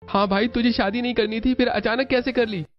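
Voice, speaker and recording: High-voiced young son, played by a man. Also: fairly even intonation, brisk. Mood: puzzled. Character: helpful young adult son of the family. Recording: dull lo-fi audio with music behind.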